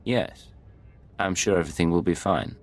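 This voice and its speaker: Deep, gravelly, cool secret agent. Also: male voice, animated, moderate pace. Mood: controlled, businesslike. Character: cool, cynical male imperial secret agent. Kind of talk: video game voice acting.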